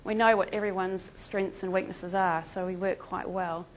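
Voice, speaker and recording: A woman's voice: medium pitch, fairly even intonation, normal speed, slight background noise, poor audio. An Australian woman with a New Zealand-tinged accent giving a chatty, self-deprecating conference talk with frequent ums. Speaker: conference speaker, veterinary emergency team member. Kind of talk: conference presentation.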